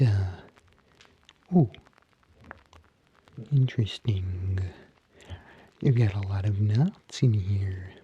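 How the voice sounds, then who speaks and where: Man voicing a very slow, breathy, creepy barber. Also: medium pitch, no echo, highs cut off, exaggerated voice. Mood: musing, wistful. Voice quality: soft, breathy, half-whispered. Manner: halting, drawn-out, murmuring with hesitant pauses. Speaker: ASMR performer. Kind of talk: whispered ASMR roleplay.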